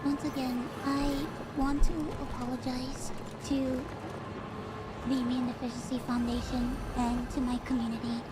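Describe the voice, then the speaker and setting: A woman YouTuber giving a prepared video statement, halting and distressed. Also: high-pitched, monotone. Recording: heavy background noise.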